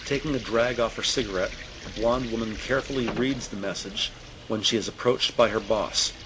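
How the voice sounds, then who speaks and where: A man's voice: fairly low pitch, moderate pace. A man giving scripted scene-setting narration for an audio drama, with noise behind him.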